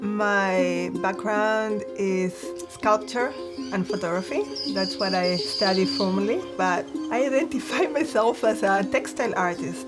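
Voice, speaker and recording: A woman reflects on her work in an earnest, searching way. She often uses "you know" and "like" as she looks for words. Instrumental music plays clearly under her voice. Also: mid-range voice, unhurried. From Argentina. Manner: warm, earnest, with many fillers. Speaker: textile artist. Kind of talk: reflective interview.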